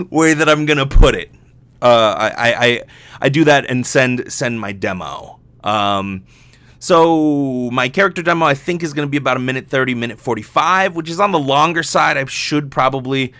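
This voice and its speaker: A young man hosts a solo podcast in a very dry recording with no room sound. His voice sits at a medium pitch. He talks in a candid, rambling, conversational way and is loose with swearing. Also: a bit bright, no room sound. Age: young adult. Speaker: podcast host, voice actor. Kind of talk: casual solo podcast monologue.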